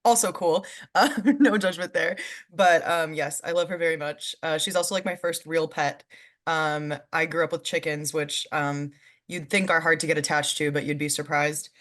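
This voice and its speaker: A young woman in her twenties with a low-pitched voice chats over a video call. She talks in a loose, friendly way and leans on "um" a lot. She laughs easily as she goes. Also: fairly even intonation, moderate pace, a bit bright. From California. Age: 20s. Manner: chatty, many "um"s, laughs easily. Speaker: guest panelist. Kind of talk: casual chat on a video call.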